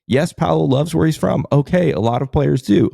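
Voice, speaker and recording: American male co-host, gravelly and mellow, casual sports chat. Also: on the high side, moderate pace, no echo, studio quality. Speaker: podcast co-host.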